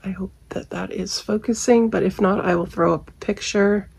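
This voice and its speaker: A woman's voice: medium pitch, steady tone, normal speed, a little mellow. A woman in her forties, losing her voice, chatting casually and candidly about her shopping haul from her bedroom, filmed by a camera at desk distance. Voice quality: gravelly, husky. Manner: chatty, candid, frequent ums and little laughs. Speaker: haul vlogger. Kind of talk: casual product review chat. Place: bedroom at home.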